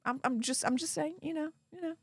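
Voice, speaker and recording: A woman's voice: high voice, brisk, no room sound, studio quality. A woman with a Yorkshire accent hosting a live stream, reading out donations with perky, gushing enthusiasm. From Yorkshire, England.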